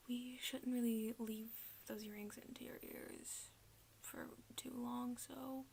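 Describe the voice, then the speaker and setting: A woman whispering in a breathy, hushed, halting way, doing an ASMR roleplay as a gloomy, deadpan emo best friend. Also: a little high, a little hiss or hum. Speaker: ASMR video creator.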